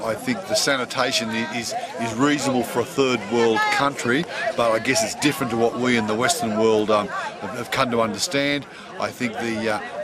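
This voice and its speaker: Halting man amid loud crowd. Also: medium pitch. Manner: halting, repeats words and restarts. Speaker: interviewee at a scout event. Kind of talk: casual outdoor interview.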